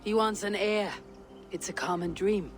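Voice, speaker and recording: A woman's voice: mid-range voice, unhurried, faint music behind, close to an everyday voice. Woman as terse, dry-witted Viking warrior. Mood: wry. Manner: terse, wry, unbothered. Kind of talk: video game cutscene acting.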